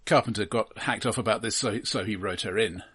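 A husky-voiced man co-hosting a casual film talk, explaining things in a halting, considered, analytical way. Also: fairly low pitch, fairly bright tone. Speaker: film podcast co-host.

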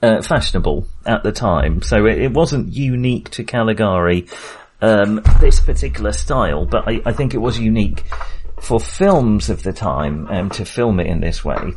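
A man co-hosting a podcast, discussing film casually in a halting, think-aloud way. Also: fairly low pitch, lively intonation, a little mellow, a little hiss or hum. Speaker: podcast co-host.

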